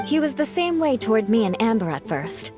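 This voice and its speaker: A woman's voice: on the high side, sing-song, fairly quick, a little mellow, faint music behind. A woman playing a proud, reserved young knight captain in a video game, composed, formal and matter-of-fact.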